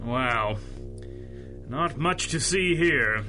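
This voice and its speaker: A man's voice: mid-range voice, lively intonation, drawn-out words, bright, forceful tone, soft background music, exaggerated voice. A man playing an exasperated, blustering police chief in a 1930s-style radio drama, irascible and sceptical.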